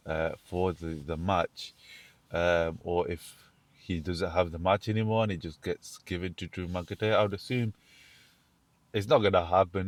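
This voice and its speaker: A man, sounding croaky from being ill, hosting his own show and rambling casually about wrestling with frequent restarts. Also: sits low, dry recording. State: croaky from illness. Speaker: show host. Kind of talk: casual wrestling commentary monologue.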